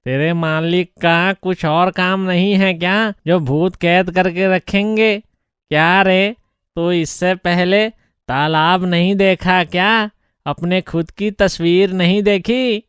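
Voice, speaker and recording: A man voicing a shrewd elder-brother ghost in a cartoon story, chiding in an incredulous, drawn-out manner. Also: high-pitched, steady tone, fairly bright tone, clear, resonant tone, no echo, studio quality. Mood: scolding. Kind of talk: Hindi cartoon story acting.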